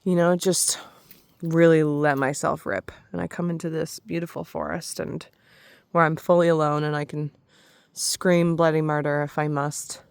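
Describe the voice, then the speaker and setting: A woman talking slowly and softly in a reflective spiritual vlog, pausing and restarting often, with a slightly raspy voice, alone in a forest. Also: sits low, level tone, no room sound. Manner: soft, slow, hesitant, with many restarts. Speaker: spiritual vlogger.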